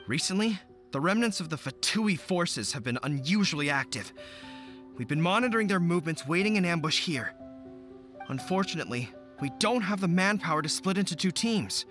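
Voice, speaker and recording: Earnest young general, bright tone, high, lively. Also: male voice. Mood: relieved. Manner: earnest, careful planner. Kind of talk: video game voice acting.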